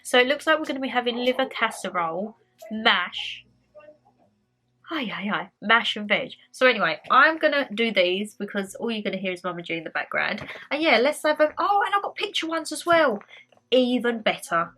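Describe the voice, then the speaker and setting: A woman's voice: a little high, big pitch swings, moderate pace, bright, forceful tone. A young woman vlogging from home, chatting casually and rambling a little, her voice slightly nasal and stuffy from a cold. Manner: chatty, rambling, repeats phrases. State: bunged up with a cold. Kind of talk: casual chat to camera.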